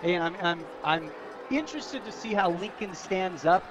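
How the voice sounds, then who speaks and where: A man's voice: sits high, lively intonation, moderate pace, music in the background. An American man giving radio colour commentary on a football game, folksy and conversational, musing aloud and asking rhetorical questions. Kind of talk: live American football analysis. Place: outdoors at a football stadium. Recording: stadium broadcast with crowd noise.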